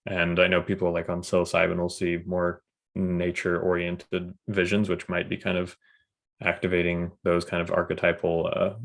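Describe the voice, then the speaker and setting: Man, very deep voice, gravelly, monotone, thoughtful podcast host. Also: normal speed. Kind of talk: casual conversation.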